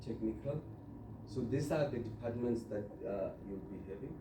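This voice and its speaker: A man giving an informal step-by-step software tutorial, instructing and often checking in with the listener. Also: medium pitch, normal speed. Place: indoor room, filming a computer monitor. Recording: roomy echo, distant mic, clear background noise.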